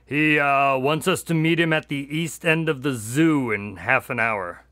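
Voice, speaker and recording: Bright-voiced, long-suffering detective's sidekick. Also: male voice, medium pitch, measured pace, no room sound. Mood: weary, puzzled. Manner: conversational, dry, long-suffering. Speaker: actor in a radio drama. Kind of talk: modern radio drama acting.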